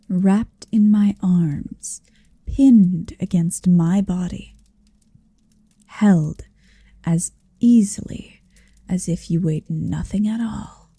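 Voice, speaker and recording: A woman's voice: on the low side, extremely slow, long pauses, mellow, slightly rough, no echo, exaggerated voice. A woman playing a huge, possessive, motherly female dragon in an ASMR roleplay, tenderly domineering and teasing, often whispering close to the mic. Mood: smug, tender. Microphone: close-up microphone, dry.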